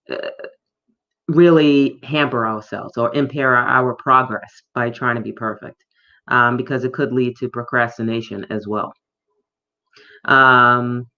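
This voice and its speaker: A woman's voice: extremely low pitch, bright, forceful tone. A woman from New York speaks as a guest coach, answering reflectively and thinking aloud. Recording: dull lo-fi sound.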